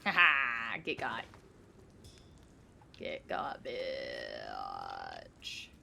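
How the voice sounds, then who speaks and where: A woman's voice: extremely low pitch, huge pitch swings, extremely slow, creaky, bright, forceful tone, slight background noise. A woman in her twenties streaming a game, chatting casually, joking and answering her chat. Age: 20s. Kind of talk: casual live gameplay chat.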